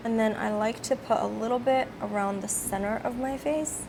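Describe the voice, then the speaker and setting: A woman with a slightly raspy voice from a cold, chatting casually as she walks through her makeup routine step by step. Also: on the high side, normal speed, background noise. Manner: chatty, filler-heavy, explaining each step. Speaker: beauty vlogger. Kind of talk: casual makeup tutorial narration.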